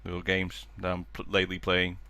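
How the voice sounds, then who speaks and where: A man co-hosting a casual podcast over a video call, speaking in a halting way with many fillers. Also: sits low, monotone, bright, forceful tone. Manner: halting, full of fillers and restarts. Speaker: podcast co-host. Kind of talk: casual chat.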